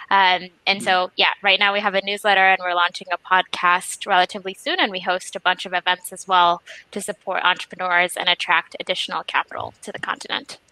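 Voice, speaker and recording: A woman from Kenya, an investment director speaking on a panel, upbeat and quick to laugh. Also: fairly low pitch, pushed and bright, no echo, rough recording. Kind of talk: panel discussion.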